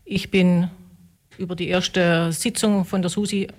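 A woman's voice: fairly low pitch, little pitch movement, unhurried. A woman, a group member who speaks earnestly and quotes her own thoughts. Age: 60s. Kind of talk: casual interview talk.

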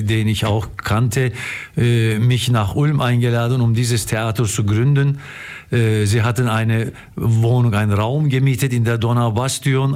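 A middle-aged or older man from Istanbul with a Turkish accent, telling stories as a radio guest, haltingly and repeating words for emphasis. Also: medium pitch, darkish tone. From Istanbul, Turkey. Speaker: interview guest, theatre director. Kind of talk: casual storytelling in an interview.

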